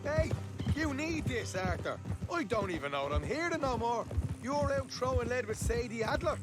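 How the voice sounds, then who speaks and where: A man's voice: extremely high pitch, animated, moderate pace. A male actor playing a scrappy, boastful Irish gang outlaw in a video game cutscene, chatty and self-justifying. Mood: urgent, accusing. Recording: loud music behind.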